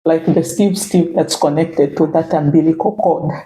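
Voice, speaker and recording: A woman's voice: low voice, fairly even intonation, moderate pace, warm tone. A middle-aged Zambian woman, a native Bemba speaker with a Zambian accent, chatting reflectively as an interview guest and circling her points with "you know". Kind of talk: casual interview chat. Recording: echoey room.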